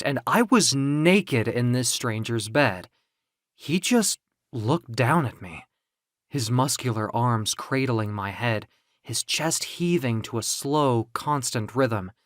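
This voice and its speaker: A man narrating first-person audio fiction in a theatrical, expressive, confiding way. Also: medium pitch, dry recording, studio quality. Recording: music under the voice. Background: music.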